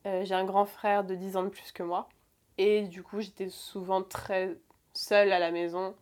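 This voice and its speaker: A young woman in her twenties speaks at a middling pitch about her past, pausing often and restarting sentences. Her delivery is halting and full of fillers. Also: flat delivery, a little mellow. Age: 20s. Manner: hesitant, many fillers and restarts. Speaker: guest. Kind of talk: personal confession in conversation.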